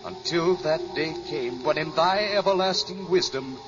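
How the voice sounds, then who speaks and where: An actor playing the solemn last survivor of a peaceful race in a 1950s radio drama, reverent and archaic in delivery. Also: male voice, a little high, moderate pace, natural voice. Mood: mournful grand. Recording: muffled old radio recording with music.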